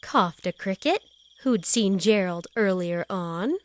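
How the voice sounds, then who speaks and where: A woman narrating a children's storybook in rhyme, with an expressive, deliberate and rhythmic delivery. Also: mid-range voice, no echo. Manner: deliberate, rhythmic verse reading. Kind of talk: expressive scripted read-aloud for young children.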